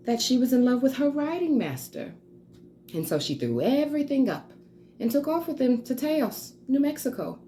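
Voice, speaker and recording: A woman's voice: fairly high pitch, warm tone, a little hiss or hum, pitched above the performer's own voice. A woman playing a stern, imperious society mother lecturing her daughter in a theatrical monologue, with clipped emphasis. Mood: scandalized, emphatic.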